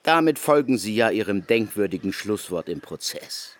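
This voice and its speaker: Man acting a pompous criminologist, animated, swinging delivery. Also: fairly low pitch, moderate pace, close to an everyday voice. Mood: accusing, insistent. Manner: emphatic, lecturing, insistent. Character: pompous, probing male criminologist interrogating a convicted killer. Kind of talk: German radio drama acting.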